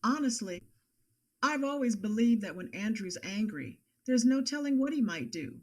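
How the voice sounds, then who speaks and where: A woman playing a guarded professional psychologist testifying in a courtroom drama, formal and measured. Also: a little high, animated, darkish tone. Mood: grave, composed. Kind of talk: audio drama acting.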